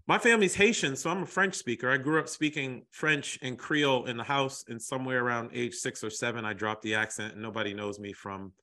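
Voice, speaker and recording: A man's voice: mid-range voice, fairly quick, hardly any pauses. An American man talking energetically and emphatically in a podcast interview as the guest. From United States. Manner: energetic, emphatic, calls people brother.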